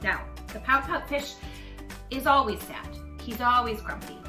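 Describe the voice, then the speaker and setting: A woman reading a story aloud to young children in an animated, affectionate, coaxing manner, speaking straight to the listeners. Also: fairly high pitch, measured pace, reverberant, faint music behind. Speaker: children's story reader, tutor.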